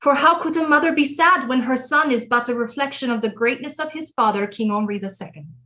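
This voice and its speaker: Queen mother, played by a woman: regal, stern, in mourning, fairly high voice, stately period audio-play delivery. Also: brisk, bright, forceful tone. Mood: proud, mournful. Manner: formal, stately. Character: grieving, proud Queen Mother, middle-aged, stern and regal. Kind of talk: period audio drama acting.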